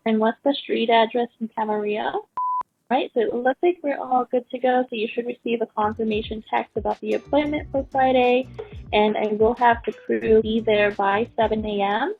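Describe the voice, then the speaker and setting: A young woman handles a customer service call, booking a job with patience and courtesy. Her voice is clean and smooth, though the phone recording dulls it a little. Music plays behind her. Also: a little high, steady tone, moderate pace, phone-quality sound. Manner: patient, polite, reassuring. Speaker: customer service booking agent for junk removal company. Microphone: telephone.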